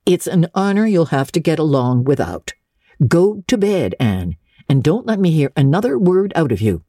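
A woman's voice: deep voice, big pitch swings, moderate pace, dark tone, dry recording, clean studio sound, pitched above the performer's own voice. A woman voicing a stern, no-nonsense older woman guardian in a storybook reading, curt, emphatic and sarcastic. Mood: stern, scolding. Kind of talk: dramatic audiobook reading of classic literature.

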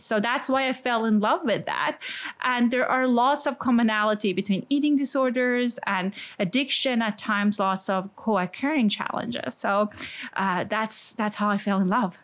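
A woman psychologist from Iran with an Iranian accent, answering interview questions thoughtfully, with many fillers as she talks. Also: fairly high pitch. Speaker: psychologist and sex therapist. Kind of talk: podcast interview guest.

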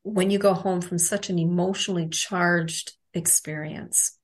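A woman co-hosting an interview who asks gentle, probing questions. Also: on the low side, fairly even intonation, a little slow. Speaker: podcast co-host.

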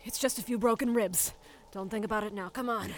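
A woman's voice: mid-range voice, steady tone, a little fast, heavy background noise, natural voice. A woman playing a tough, street-smart chemist stranded in a wasteland, muttering defiantly to herself in an audio drama. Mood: weary, muttering. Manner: muttering to self, gritty, defiant.

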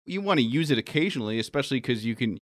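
A man's voice: mid-range voice, lively intonation, very fast, no echo, clean studio sound. A man chatting casually on a panel, candid and informal, with loose, sweary phrasing. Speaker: podcast cast member and panelist. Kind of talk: casual chat.

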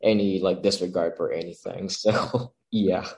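A man's voice: low voice, measured pace, gravelly. A young man from the Philippines, a cook and pop-up owner, chatting casually as a guest and laughing often. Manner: relaxed, laughs often, many fillers like "um" and "like". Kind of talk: casual interview chat.

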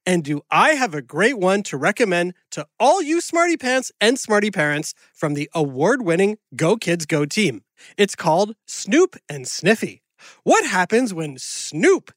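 Animated, peppy woman announcer with dry sound. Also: medium pitch, no echo. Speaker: narrator and podcast announcer. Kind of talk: upbeat scripted promo and narration.

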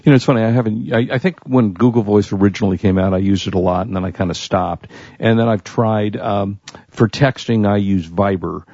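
A man co-hosting a show in casual, easygoing and slightly hesitant chat, with a gravelly, husky voice. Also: fairly low pitch, steady tone, a little fast, warm tone, no room sound. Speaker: co-host. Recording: dull, lo-fi close sound.

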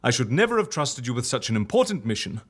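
An excitable agency boss in a comedy audio drama, with a hugely animated voice that leaps and dives. The manner is theatrical and sarcastic, piling up repeated words for effect. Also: male voice, mid-range voice, quick pace, slightly rough. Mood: exasperated, sarcastic.

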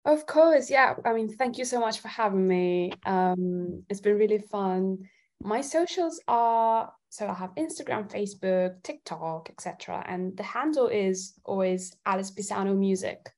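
A woman's voice: medium pitch, lively intonation, measured pace, a little mellow. A woman from Italy chatting casually as a guest singer-songwriter in an interview.

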